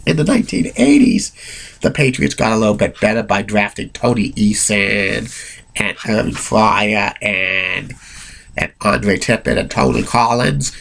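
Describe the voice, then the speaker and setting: A low-voiced man with a cold talks off the cuff, his tone gravelly and a bit husky. He rambles through restarts and fillers and gives blunt opinions. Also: fairly bright tone. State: has a cold. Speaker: amateur sports history narrator. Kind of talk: unscripted solo narration.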